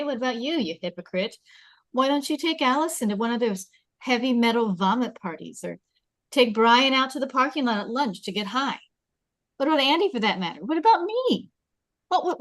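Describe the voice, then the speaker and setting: Casting: actress as argumentative, conceited popular teen girl, fairly high voice, table-read drama. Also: expressive, brisk, close to an everyday voice. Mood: defensive, blunt. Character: popular, conceited, defensive teenage girl, bluntly honest. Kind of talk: table read of a film script.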